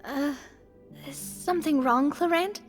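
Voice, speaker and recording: A woman playing an earnest, curious young adventurer in a video game, eager and expressive. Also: sits high, unhurried, faint music behind.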